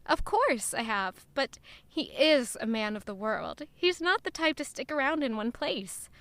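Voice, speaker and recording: An actress plays a young noblewoman with a high, very bright and forward voice. She is a spirited but guarded lady-in-waiting, speaking as the heroine and narrator of an audio drama. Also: sing-song, measured pace, dry recording, heavily acted. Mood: composed, businesslike. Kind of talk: audio drama dialogue and first-person narration.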